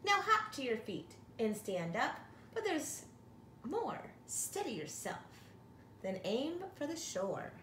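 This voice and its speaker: A woman's voice: high voice, sing-song, takes it slowly, a bit bright. A middle-aged woman reading a picture book aloud to young children, expressively, like a teacher addressing her class. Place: living room at home, indoors. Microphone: camera or phone a short distance away. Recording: roomy home recording with faint noise.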